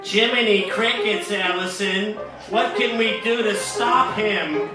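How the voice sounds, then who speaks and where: Man doing a very high-pitched excitable boy sidekick. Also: slow. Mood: alarmed. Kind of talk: 1940s old-time radio serial parody acting. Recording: echoey, distant, music underneath.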